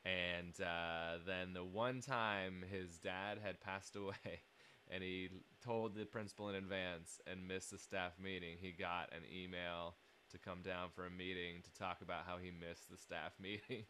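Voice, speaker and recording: A man's voice: low-pitched, steady tone, a little slow, bright, forceful tone. A man with an American accent in casual joking banter, amused and jokey as he tells stories and asks questions. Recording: noticeable background noise.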